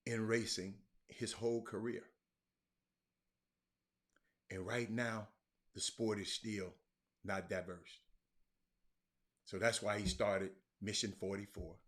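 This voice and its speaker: An American man hosting a casual sports livestream, chatting to viewers in a folksy way and repeating phrases for emphasis. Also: fairly low pitch. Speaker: sports talk show host.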